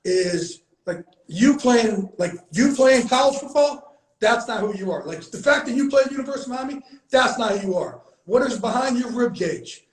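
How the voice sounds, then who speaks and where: A man with a high voice speaks with blunt, emphatic conviction, swearing freely and hammering his points through repetition. It is a casual, heartfelt conversation recorded in a room with a noticeable echo. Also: lively intonation, moderate pace. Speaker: host. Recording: echoey room.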